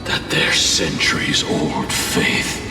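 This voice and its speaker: A man's voice: very deep voice, huge pitch swings, takes it slowly, full character voice. An actor playing a grave ancient guardian spirit in a fantasy game, telling his tale with solemn weight. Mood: grim, intense. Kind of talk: dramatic fantasy game voice acting. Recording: echoing hall reverb, distant, with music.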